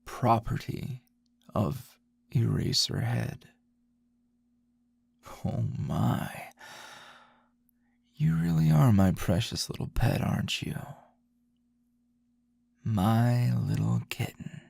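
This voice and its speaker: A man playing a grumpy middle-aged teacher in an intimate ASMR roleplay, a teasing, possessive lover with a low, gravelly, husky murmur and a slow drawl. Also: a little mellow, heavily acted. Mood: fond, teasing.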